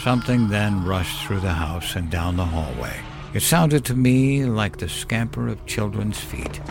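Male horror narrator, low register, solemn and unhurried, music underneath. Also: expressive, vocal fry. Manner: measured, solemn, deliberate storytelling. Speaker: narrator of a horror fiction podcast. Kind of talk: scripted horror story narration. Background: music bed.

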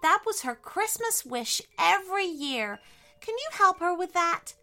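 A woman's voice: extremely high pitch, sing-song, measured pace, pushed and bright, faint music behind, pitched above the performer's own voice, full character voice. A woman voicing a playful, polite little mother hen in an emotional, exclaiming storybook style. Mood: pleading, hopeful.

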